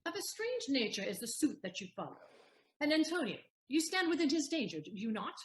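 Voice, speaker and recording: An actress as an eloquent woman lawyer in a classical audio drama. Her fairly high voice moves widely in pitch, formal, composed and declamatory. The room echoes around her. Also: big pitch swings, a little fast. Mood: formal, questioning. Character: composed, eloquent young-middle-aged heroine posing as lawyer. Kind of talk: audio play Shakespeare acting. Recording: roomy, echoing recording.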